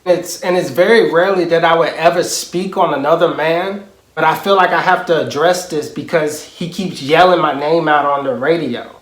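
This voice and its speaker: A man with a high-pitched voice performs a parody monologue as an aggrieved, self-important music mogul. He sounds boastful and indignant, leaning on emphatic pauses. The recording has a noticeably roomy echo. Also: fairly bright tone. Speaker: comedic impressionist.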